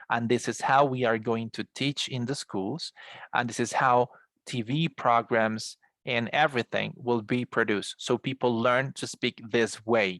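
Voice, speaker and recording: A low-voiced man with a Colombian accent teaches an English class in a conversational, explanatory way. His voice has a noticeable creak, and the sound is dry and close. Spanish is his native language. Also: a bit bright, dry recording. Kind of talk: explanatory online class lecture.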